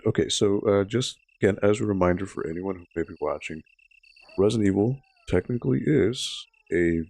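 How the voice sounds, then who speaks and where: Library stream host, man in his thirties, hoarse and raspy, low gravelly voice, relaxed and chatty while he plays. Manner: relaxed and chatty, explains each step while playing. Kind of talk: casual gameplay commentary to a live chat.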